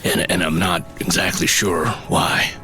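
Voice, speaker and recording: Give the listener a reticent fantasy mushroom forager from an audio drama. His voice sits very low and he speaks haltingly, feeling for his words. Music plays clearly behind him. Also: level tone. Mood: earnest explaining. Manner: hesitant, halting, not much for talking. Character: shy, halting male mushroom forager and craftsman in a fantasy world.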